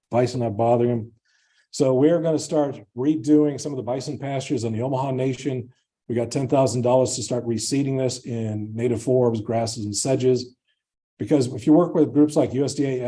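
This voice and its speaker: A man's voice: medium pitch, mellow. A man giving an informal presentation as a conservation scientist, speaking in an enthusiastic, conversational way. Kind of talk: informal conference talk to an audience.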